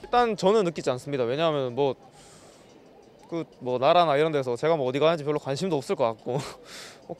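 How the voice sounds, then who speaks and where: Young man answering quickly, easygoing. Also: on the high side. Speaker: interviewee. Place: city street outdoors.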